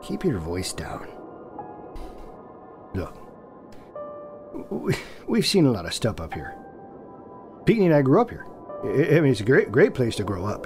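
A man voicing a cautious, earnest Canadian Mountie in an audio drama, explaining himself with hesitant stammers. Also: mid-range voice. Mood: uneasy, cautious.

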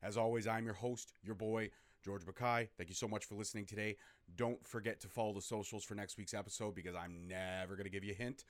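A man signs off his podcast with energetic, hyped-up enthusiasm. His voice sits on the low side. The sound is dry and close, with no room echo. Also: fast. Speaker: podcast host. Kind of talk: show sign-off.

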